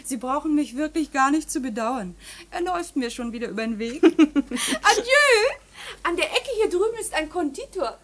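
High, animated, chattering young wife. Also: female voice, moderate pace. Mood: breezy. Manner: bubbly, blurting, gushing. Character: naive, tactless, giggly, chattering young wife. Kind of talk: lively German radio drama acting.

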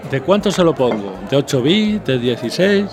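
A man's voice: mid-range voice, sing-song, moderate pace, over music, lightly acted. An actor with a Spain accent playing a chatty, knowing barman in an audio drama, with a familiar, sly manner. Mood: teasing. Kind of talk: Spanish audio drama acting.

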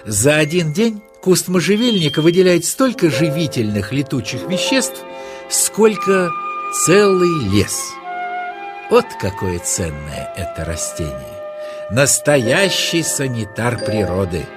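Slow, very expressive male storyteller over music. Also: fairly high pitch. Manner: dramatic, tender. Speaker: narrator. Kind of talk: expressive children's storytelling narration. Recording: music under the narration.

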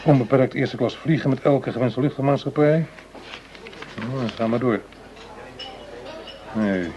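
A cautious, calculating government minister played by a male radio actor speaks in a mid-pitched voice. His phrasing is formal and deliberate, as in a confidential political talk. The radio play recording has clear background noise. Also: warm tone, lo-fi sound, lightly acted. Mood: wry disbelief.

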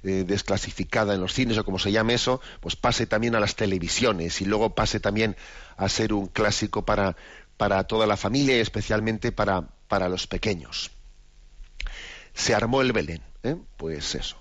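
Older priest rambling thoughtfully, lowish voice, dry dull audio. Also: male voice, moderate pace, a bit bright, no room sound. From Spain. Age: 60s. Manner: rambling and reflective, many fillers and restarts. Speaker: bishop. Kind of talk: informal spoken reflection.

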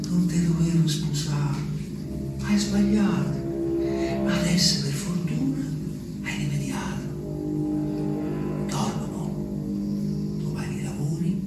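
A man acts out a stern older country mother in a radio drama, cold and domineering, giving curt orders in a hushed voice. It sounds far off and heavily echoing, with music underneath. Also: fairly high pitch, voice pushed down. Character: cold, domineering older peasant mother scolding her son. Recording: very echoey, distant stage sound with music.

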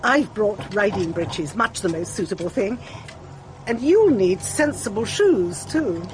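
A woman's voice: medium pitch, lively intonation, natural voice. An actress playing a bossy, self-important middle-aged lady politician with an upper-class English accent in a radio drama. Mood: bossy, authoritative. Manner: pompous, brisk, commanding.

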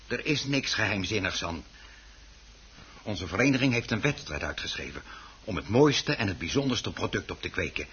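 An actor playing a stubborn, obsessive hobby gardener and exasperated husband in a radio play, with a defensive, insistent way of talking. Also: male voice, fairly low pitch, big pitch swings, normal speed, fairly bright tone, husky, heavily acted. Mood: indignant, insistent. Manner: defensive, insistent, muttering to himself when alone. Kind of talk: Dutch radio play acting. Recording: dull, noisy old radio recording.

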